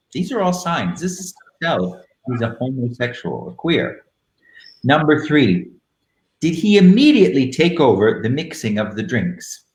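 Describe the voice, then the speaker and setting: A man hosting a live online show in a camp, theatrical manner, moving between casual chat and dramatic reading with deliberate phrasing. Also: mid-range voice. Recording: roomy, echoing live-stream sound.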